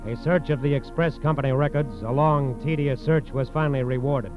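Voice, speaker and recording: An American man playing the grave narrator of a 1940s radio crime drama, measured and emphatic. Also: mid-range voice, lightly acted. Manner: measured, emphatic, repeating phrases. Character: grave male narrator of FBI case files. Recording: dull vintage radio recording, music behind.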